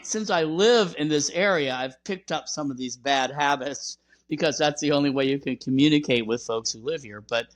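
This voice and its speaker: A man hosting a podcast, explaining things in a measured, conversational way. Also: fairly high pitch. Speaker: podcast host.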